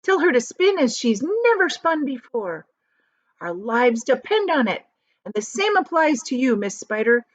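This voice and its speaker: A woman's voice: high-pitched, big pitch swings, measured pace, voice pushed up, full character voice. A woman voicing a brave young boy who leads his friends with urgent orders in a storybook. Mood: urgent commands.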